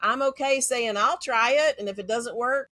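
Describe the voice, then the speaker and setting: Frank Texan woman, bright voice. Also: on the high side, moderate pace, no room sound. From Central Texas. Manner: direct, frank, confident. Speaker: guest, educator. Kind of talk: casual interview talk.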